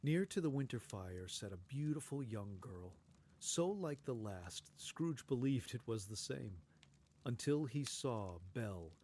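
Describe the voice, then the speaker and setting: A man narrating a story in a measured, expressive, theatrical scripted style. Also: medium pitch, slight background noise.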